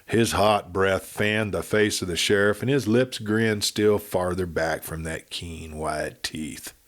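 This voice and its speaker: A man narrating a Western audiobook with expressive, dramatic storytelling. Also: deep voice, slow pace.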